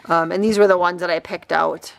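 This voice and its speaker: A woman chatting casually and a bit ramblingly as she shows craft finds, her throat slightly raspy. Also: lowish voice, fairly even intonation, a little fast. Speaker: crafting vlogger. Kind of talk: casual chatty haul show-and-tell.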